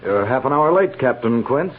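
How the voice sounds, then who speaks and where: A man's voice: mid-range voice, expressive, normal speed, phone-quality sound, slight background noise, natural voice. An American man, middle-aged, playing a stern, by-the-book cavalry major, formal and authoritative, in 1950s radio western acting. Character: stern, by-the-book older male army major. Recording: thin band-limited old radio recording.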